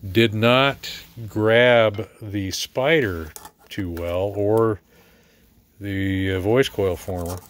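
A middle-aged American man giving a casual, halting, matter-of-fact walkthrough as a repair technician, filming in his workshop. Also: lowish voice. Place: cluttered indoor repair workshop. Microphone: handheld camera or phone mic. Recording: faint background noise.

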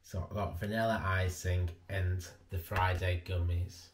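A man's voice: low voice, steady tone, a little slow, noisy background. A man, casually describing food to camera. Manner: easygoing, descriptive. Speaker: vlog co-host. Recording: roomy echo, faint ambience.

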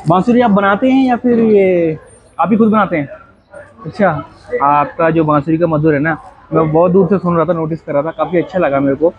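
A man's voice: fairly high pitch, brisk, breathy edge. A man casually vlogging on location, chatting with people and guiding viewers around outdoors. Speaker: travel vlogger. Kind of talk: casual on-location travel vlog. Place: outdoors in a busy hill town. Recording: muffled lo-fi outdoor recording.

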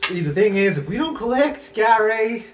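A man's voice: high-pitched, big pitch swings, moderate pace, noisy background, pitched above the performer's own voice. A man voicing a scared but stubborn goblin debt collector in slangy tough talk for a tabletop game. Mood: threatening.

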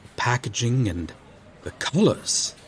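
A man playing a courteous, befuddled medieval knight in an audio roleplay, speaking earnestly to the listener in formal, theatrical, archaic phrasing with hesitant pauses. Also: mid-range voice, very animated, slight background noise. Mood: wondering, torn. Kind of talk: ASMR roleplay audio drama, addressing the listener.

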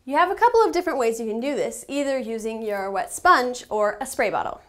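A young adult woman presenting a step-by-step how-to tutorial in a measured, instructional manner. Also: a little high, animated, a bit bright.

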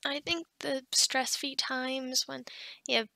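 Woman playing a friendly scatterbrained female boss, high-pitched, chatty, encouraging, ASMR roleplay, dry close sound. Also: fairly even intonation, takes it slowly, gravelly, pushed and bright, no room sound, exaggerated voice. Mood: frank, easygoing. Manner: bubbly, chatty, with giggles and hesitations. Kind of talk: ASMR roleplay acting, conversational.

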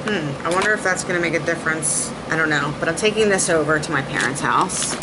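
A woman in her thirties with a low voice talks to her camera mic at close range in a small home room. She thinks aloud in a chatty, easygoing way, and steady background noise sits under her voice. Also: fast. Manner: chatty, thinking aloud while sorting gear. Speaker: beauty and music vlogger. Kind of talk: casual vlog chat. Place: small home kitchen, then a home office. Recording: audible background noise, rain and thunder outside.

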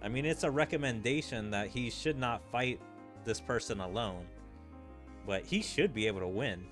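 A male streamer talks casually through a game with music playing behind him. His voice sits at a middle pitch. He rambles as he puzzles things out aloud. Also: moderate pace, fairly bright tone. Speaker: gaming streamer. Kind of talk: casual playthrough commentary, reading game dialogue aloud.

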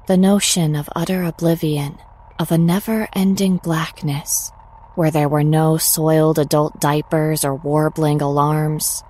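Woman, literary horror narration, deep voice, unhurried, faint ambient noise. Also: darkish tone. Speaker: story narrator.

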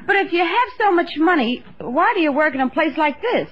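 A woman's voice: extremely high pitch, expressive, brisk. An actress playing a coy, frankly money-minded woman being courted in a 1950s radio sitcom. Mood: coolly playful. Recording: muffled, faintly noisy 1950s radio broadcast.

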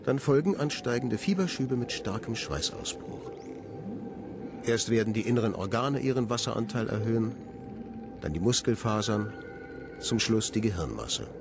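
A cold, sinister scientist in a radio drama. His lowish voice is detached and clinical, delivering formal, precise sentences over prominent music. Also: animated, moderate pace, vocal fry. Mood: coldly clinical. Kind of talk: German radio drama monologue.

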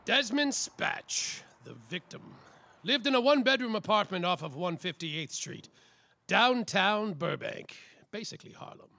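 An actor playing a world-weary, self-important detective, narrating a slapstick noir radio play in a pompous, hard-boiled manner. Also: male voice, high-pitched, animated, unhurried, creaky, background noise, exaggerated voice. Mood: moody, hard-boiled. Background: music.

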